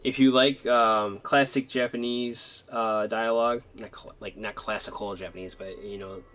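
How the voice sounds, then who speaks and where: Low-voiced man, rambling game commentary. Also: fairly even intonation, moderate pace, fairly bright tone. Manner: rambling, hesitant, laid-back. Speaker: gaming commentator. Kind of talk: casual let's-play commentary.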